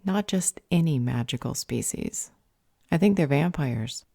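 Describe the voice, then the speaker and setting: A serious sorceress played by a woman speaks very low for a woman, with a dark, mellow tone. Her delivery is measured and clinical, in a close, dry audio roleplay. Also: lively intonation, creaky, husky, no echo, exaggerated voice. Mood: analytical. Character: serious, analytical female magic user.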